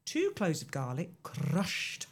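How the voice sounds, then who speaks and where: A woman hosts a radio show from the studio and talks through a recipe in a chatty, playful way. Her voice sits a little low, and her pitch rises and falls a lot as she talks. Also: huge pitch swings, slow, vocal fry, husky. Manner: chatty and playful, laughs often. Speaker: radio presenter. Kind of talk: casual radio chat reading a recipe.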